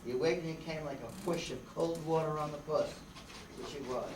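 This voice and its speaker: A noisy, distant recording in a roomy space carries a hard-boiled radio private eye played by a man. He is brash and sardonic, full of slangy wisecracks, with a slightly high voice. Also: fairly quick, close to an everyday voice. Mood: wry narrating. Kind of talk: old-time radio detective narration and dialogue.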